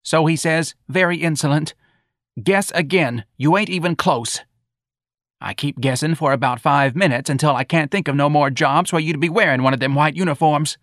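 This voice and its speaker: Man as a high, fretful New mother. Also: moderate pace, a bit bright, no room sound, clean studio sound, close to an everyday voice. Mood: grieving, aggrieved. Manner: whining, aggrieved. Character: Irene Riley, plaintive, fretful aging Southern mother. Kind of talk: audiobook character dialogue.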